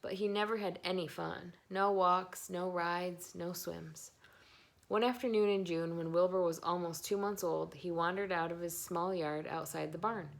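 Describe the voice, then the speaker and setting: A woman narrates a children's book aloud. Her voice sits a little low and has a clear creak to it, with expressive storytelling delivery. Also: normal speed. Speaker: story reader.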